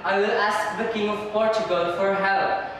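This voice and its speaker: A man's voice: high-pitched, fairly even intonation, normal speed, natural voice. A male student performer playing a determined sailor dreaming of riches in a readers' theater recital. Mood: resolute. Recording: echoey hall from far off, noisy.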